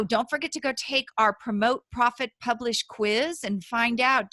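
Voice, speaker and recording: A woman hosts a chatty podcast interview, her voice bright and forceful. She laughs often and trips over her own sentences as she goes. The sound is dry and close, with no room in it. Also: mid-range voice, no room sound. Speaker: podcast host.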